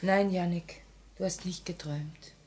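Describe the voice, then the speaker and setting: An actress playing a compassionate, quietly firm woman revolutionary, grave and earnest, in a 1950 radio play. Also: fairly low pitch, fairly even intonation, measured pace, breathy edge. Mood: tender. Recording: vintage 1950 radio recording.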